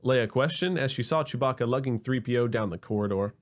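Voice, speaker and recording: A man narrating a scripted comedic sci-fi story in an expressive, wry manner. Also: medium pitch, brisk.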